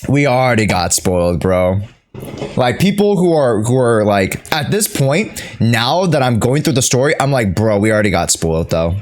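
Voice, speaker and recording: A man's voice: medium pitch, very animated, moderate pace. A man casually chatting as a game streamer over gameplay, slangy and teasing.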